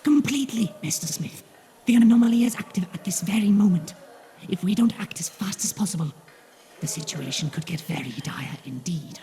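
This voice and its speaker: A man voicing a grave, formal, pleading horned alien in an audiobook, with a soft, breathy delivery. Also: high-pitched, expressive, brisk, pitched above the performer's own voice. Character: grave, desperate horned alien named Yoon seeking help. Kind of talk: audiobook character voice.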